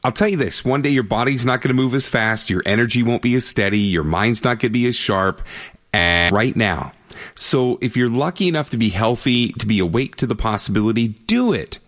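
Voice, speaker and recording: A man's voice: mid-range voice, animated, brisk, fairly bright tone. A middle-aged Canadian man hosting a radio show, chatting with a guest and then giving an earnest, emphatic pep talk to listeners. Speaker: radio host. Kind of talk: radio interview and motivational monologue.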